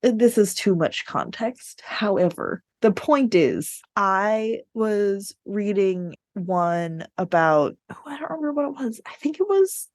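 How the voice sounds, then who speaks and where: A woman chatting casually as a podcast co-host, telling things in an animated, digressive way. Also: mid-range voice, takes it slowly, few pauses, no room sound, clean studio sound. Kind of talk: casual book discussion chat.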